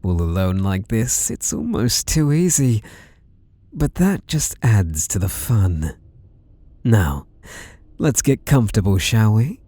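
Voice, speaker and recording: A British man playing an obsessive, seductively playful masked killer, taunting in whispery, drawn-out questions close to the mic in an ASMR roleplay. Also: fairly low pitch, big pitch swings, warm tone, no room sound, full character voice. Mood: smug, menacing. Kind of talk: ASMR roleplay, intimate monologue. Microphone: close microphone.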